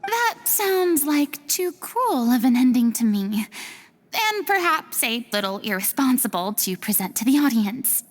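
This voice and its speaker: An actress voicing a poised, thoughtful, compassionate young lady in a video game, with measured, eloquent delivery. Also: high voice, very animated, exaggerated voice. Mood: concerned, thoughtful. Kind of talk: English video game voice acting. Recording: reverberant hall sound.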